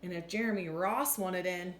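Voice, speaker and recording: A woman's voice: lowish voice, normal speed, lightly acted. Woman as an earnest, emphatic young storybook boy. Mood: bossy, defiant. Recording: echoey room with faint background noise.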